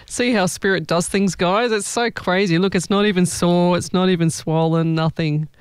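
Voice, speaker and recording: Clear-voiced woman chatting as a psychic. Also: lowish voice, fairly even intonation, normal speed, dry recording. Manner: chatty, informal, exclaiming. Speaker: psychic medium host. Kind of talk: casual live chat with spiritual readings.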